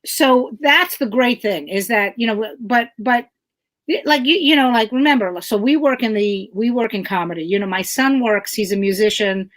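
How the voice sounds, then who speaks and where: A middle-aged woman comedian with a loud voice, ranting emphatically and swearing freely in casual chat. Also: a little high, moderate pace. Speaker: comedian guest.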